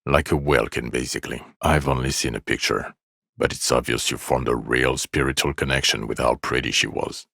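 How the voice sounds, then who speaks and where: Worn out sarcastic middle aged cop for a game, super deep n gravelly, blunt as hell. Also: male voice, steady tone, normal speed, pushed and bright, no room sound, clean studio sound, heavily acted. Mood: dry sarcasm. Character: jaded, sardonic middle-aged male police detective. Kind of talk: video game voice acting.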